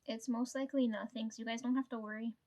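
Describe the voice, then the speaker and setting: A woman's voice: a little high, steady tone, fast, a little mellow. A woman vlogger telling a story to her viewers in casual chat, swearing freely and laughing often.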